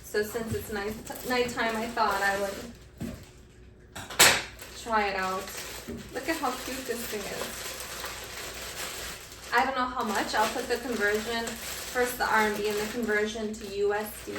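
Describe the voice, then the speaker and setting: A woman's voice: a little high, fairly even intonation, unhurried, long pauses, background noise. An American woman vlogging casually to her viewers in a chatty, rambling way, recorded at home. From United States. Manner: chatty, friendly, rambling asides. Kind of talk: casual vlog talk to camera. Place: home indoors at night.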